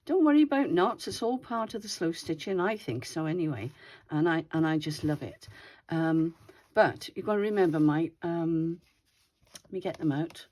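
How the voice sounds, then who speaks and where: Older lady a bit wheezy, meandering craft chat at home, lowish voice. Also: moderate pace, a little mellow. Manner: chatty, meandering, self-correcting. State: slightly wheezy. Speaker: craft video host. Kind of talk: casual show-and-tell chat.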